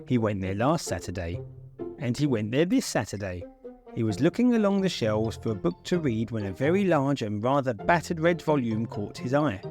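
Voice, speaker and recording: A man reading a children's story aloud as an expressive storyteller. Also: medium pitch.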